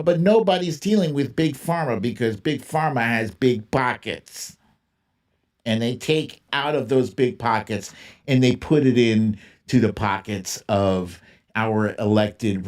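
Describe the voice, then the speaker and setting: A man with a mid-pitched voice hosts a casual political livestream. He talks at an unhurried, slow pace in an informal, opinionated style. He stresses his points emphatically, often by saying a phrase again. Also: a little mellow. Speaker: livestream host. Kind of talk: casual opinionated political commentary, reading and answering viewer comments.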